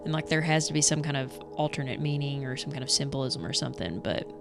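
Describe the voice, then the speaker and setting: A woman's voice: extremely low pitch, fast, gravelly, music in the background. A woman co-hosting a podcast in informal, conversational chat, voicing her own reactions as she discusses a story. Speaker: podcast co-host. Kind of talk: casual chat about a horror radio drama.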